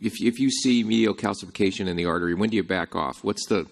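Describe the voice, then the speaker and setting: Deep gravelly man, distant and echoing. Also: fast. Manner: stammering, restarting questions. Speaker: audience member asking a question. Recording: distant with long reverb.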